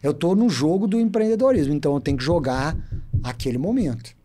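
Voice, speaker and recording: A talkative man in his thirties with a Minas Gerais accent, chatting easily as a podcast guest. His voice sits a bit high, and his tone stays mellow and relaxed. He tells his story in a lively, animated way. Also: fast talker. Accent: Minas Gerais Portuguese. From Brazil. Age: 30s. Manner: chatty, animated storyteller who loves to talk. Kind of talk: casual storytelling interview.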